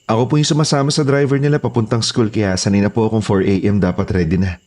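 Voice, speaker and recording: A man's voice: on the low side, fairly even intonation, quick pace, darkish tone, close to an everyday voice. A man with a Filipino accent playing a respectful, eager new houseboy in a horror audio drama. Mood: earnest. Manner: respectful, deferential. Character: polite, eager, deferential new male houseboy.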